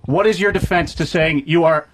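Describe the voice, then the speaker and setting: A man's voice: on the high side, moderate pace, fairly bright tone, slight background noise, low-quality recording. A man in a heated workplace argument, speaking in a blunt, insistent and profane way. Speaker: radio show staffer.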